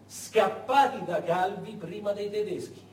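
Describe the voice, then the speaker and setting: A man performing a dramatic theatrical monologue, emphatic and deliberate, repeating words and leaving heavy pauses. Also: sits high. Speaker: solo stage storyteller. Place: echoey performance space, far from the mic. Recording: echoey, noisy, distant stage recording.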